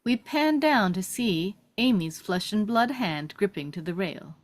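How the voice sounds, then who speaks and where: A woman's voice: medium pitch, lively intonation, a little slow. A woman giving scripted narration, reading out stage directions at a table read.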